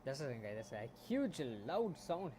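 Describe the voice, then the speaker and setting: A man's voice: sing-song, normal speed. Man muttering through code on stream. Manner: muttering, trails off into soft asides. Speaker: game dev streamer. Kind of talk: thinking aloud while coding on stream. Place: home room. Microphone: desktop mic. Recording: faint background noise, low home mic.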